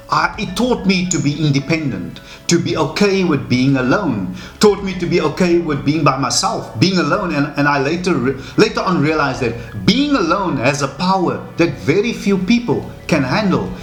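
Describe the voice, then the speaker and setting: A man's voice: fairly high pitch, expressive, a bit bright. Earnest man, echoey room. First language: Afrikaans. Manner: earnest, measured, with frequent repeated words. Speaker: channel host. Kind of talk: reflective personal talk to camera. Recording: echoey room with faint music.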